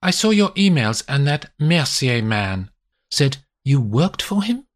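A man voicing a furious, betrayed wife and mother, his voice pushed high and strained with anger. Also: sing-song, slow.

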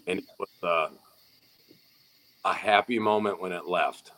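A man with a very low voice talks in an easy, unhurried way, trading dry jokes in casual chat. He sounds like a guest telling a host about driving his pulling tractor. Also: a bit bright, a little hiss or hum, rough recording. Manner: laid-back, dry humor.

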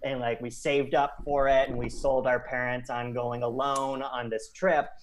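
A man's voice: medium pitch, level tone, normal speed. Male podcast guest, casual, rambling, lots of fillers, faint background hiss. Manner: chatty, loose, heavy on fillers like "like". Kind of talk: casual conversation.

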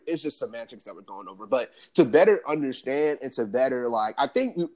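A man co-hosting a sports podcast, talking excitedly in casual slang. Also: mid-range voice. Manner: excited, animated, lots of slang. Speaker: podcast co-host.

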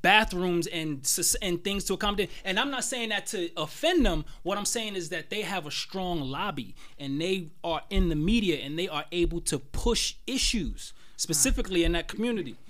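A man's voice: sits high, lively intonation, moderate pace, few pauses, fairly bright tone. A man in a casual panel talk, speaking bluntly and emphatically with plenty of slang. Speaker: panel guest.

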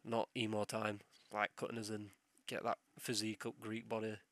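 A man's voice: lowish voice, completely flat delivery, normal speed, a bit bright. A young man hosting a solo podcast while ill, with a sore throat and sniffles, rambling and swearing as he loses his train of thought. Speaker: podcast host.

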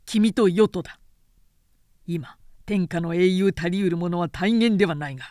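A woman's voice: fairly low pitch, moderate pace, dark tone, no room sound, clean studio sound, close to an everyday voice. A woman voicing a proud, domineering male warlord in an audiobook, declamatory, haughty and mocking. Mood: grandiose.

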